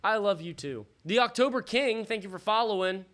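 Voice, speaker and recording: Guy streamer with kinda high voice, bit worn out from talking, casual sports chat, swears, thinks out loud. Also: a little fast. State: losing his voice. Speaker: livestream host. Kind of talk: casual live fantasy football draft commentary with chat shout-outs.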